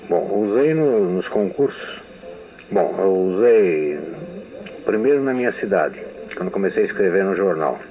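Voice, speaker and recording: Deep-voiced older Brazilian man reminiscing. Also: lively intonation, measured pace, faint music behind. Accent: Brazilian Portuguese. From São Paulo state, Brazil. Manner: meandering, reminiscing storyteller who often restarts sentences. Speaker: interviewed writer. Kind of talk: archival radio testimony. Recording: narrow, phone-like old radio archive.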